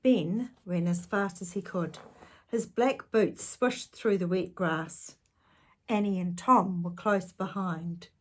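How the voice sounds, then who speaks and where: Lady reading a kids story, really slow, bit husky, expressive. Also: lowish voice. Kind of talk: children's storybook read-aloud with asides to the listener.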